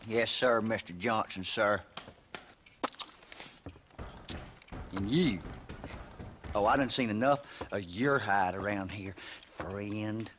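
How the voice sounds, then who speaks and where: An actor playing a hot-headed, slow-witted frontier deputy in a Western game, with a belligerent American Southern drawl. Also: male voice, lowish voice, fairly bright tone, a little hiss or hum. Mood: sullen. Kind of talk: Western video game acting.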